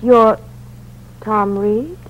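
A woman's voice: medium pitch, extremely slow, a little hiss or hum. Bold young woman, 1940s radio mystery. Mid-range, direct, suspicious, slightly muffled sound. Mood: unsettled. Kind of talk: 1940s radio thriller acting. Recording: dull old radio recording.

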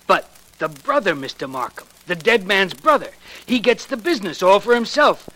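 An actor playing a gruff, stubborn, exasperated homicide sergeant in a 1940s radio detective drama, blustering and slangy as he argues. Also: sits high, animated, normal speed, bright, forceful tone, exaggerated voice, poor audio. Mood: insistent.

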